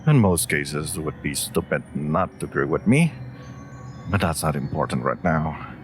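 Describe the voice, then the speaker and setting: A man voicing a clever, haughty wolf familiar in a scripted fantasy audio roleplay, speaking in a dry, smug, sharp-tongued manner. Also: rumbling low, expressive, normal speed, soft background music. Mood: dry, concerned.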